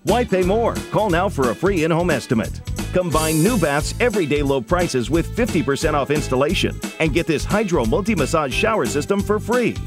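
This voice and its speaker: A man's voice: on the high side, expressive, a little fast, music in the background. A man narrating a scripted hard-sell commercial. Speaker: advertisement announcer.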